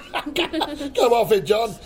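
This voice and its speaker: A teasing Welsh cop. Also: male voice, extremely high pitch, huge pitch swings, very slow, a little hiss or hum, full character voice. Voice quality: chirpy, teasing, pleased with his own puns. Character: jokey, rambling Welsh male police officer. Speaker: police officer. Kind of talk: radio sitcom acting.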